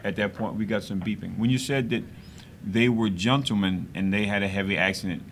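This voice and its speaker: A man's voice: on the low side, brisk, fairly bright tone, slight background noise. A man hosting a call-in radio interview, with many stammering restarts and frequent affirmations. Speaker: radio host.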